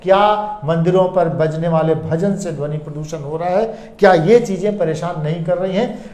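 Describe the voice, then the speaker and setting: A man's voice: on the high side, normal speed, mellow. A man hosting a news discussion and posing pointed questions. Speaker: news debate host. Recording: echoey room.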